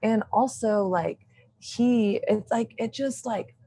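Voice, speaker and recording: A woman in her thirties chatting as a podcast guest, talking in animated bursts full of "like" and quick laughs, with a loud, opinionated way of speaking. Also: on the high side, husky, no echo. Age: 30s. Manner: says she has a loud voice, opinionated. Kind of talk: casual chat.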